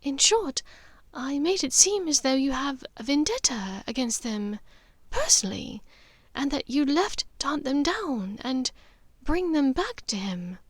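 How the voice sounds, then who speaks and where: A middle-aged noble witch mother, as a female narrator puts her on, composed and cunning. Her voice is high and often breathy, with hushed, deliberate phrasing. It is recorded close and dry, like an audiobook. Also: big pitch swings, dry recording.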